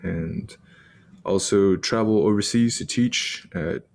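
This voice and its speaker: A man's voice: lowish voice, slow, creaky, a little mellow. A man from Texas, a pastor, introducing himself casually on a podcast in an upbeat, joking way.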